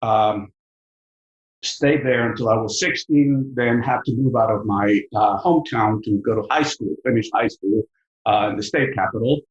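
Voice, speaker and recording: Low-voiced Mexican man, roomy sound. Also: normal speed, dull audio. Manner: thoughtful, many fillers and repeated words. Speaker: business school professor, guest. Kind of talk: podcast interview. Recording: echoey room.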